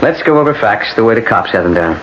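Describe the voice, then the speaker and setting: A blunt American defense attorney in an old radio drama, played by a man. He is sardonic and commanding, with very lively intonation. The sound is dull, with light broadcast noise. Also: on the low side, fast, close to an everyday voice. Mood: stern. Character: blunt, world-weary male defense lawyer. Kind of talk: 1980s radio drama acting.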